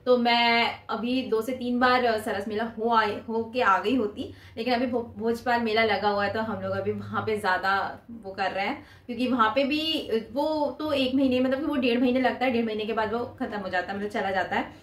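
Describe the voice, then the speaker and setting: Young woman doing a haul vlog, kinda high voice, chatty, echoey little room. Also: moderate pace, few pauses, fairly bright tone. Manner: chatty, showing items to camera with her hands. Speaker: vlogger. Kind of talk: casual shopping haul chat. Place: small room indoors.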